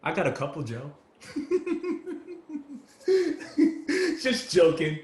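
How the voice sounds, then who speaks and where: A man playing an easygoing, good-natured homeless man in a play reading, gentle and appreciative, with folksy street talk, recorded in an echoey room. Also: a little high, big pitch swings, moderate pace. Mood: playful. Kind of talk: dramatic table reading of a stage play. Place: indoor room with echo, virtual reading.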